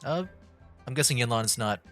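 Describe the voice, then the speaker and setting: A man's voice: medium pitch, moderate pace. A man streaming a game, making giggly, rambling asides in casual commentary. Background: game music.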